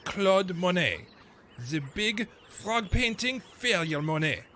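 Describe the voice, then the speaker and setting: An actor playing an exasperated young painter in a comic radio play, excitable and full of complaint. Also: male voice, sits high, slow pace, a little hiss or hum, full character voice. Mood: frustrated complaining.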